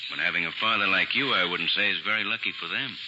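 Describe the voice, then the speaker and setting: An actor playing a stern assistant district attorney who presses and persuades firmly, in 1940s radio acting. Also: male voice, sits low, fast talker, close to an everyday voice. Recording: muffled 1940s radio broadcast with hiss.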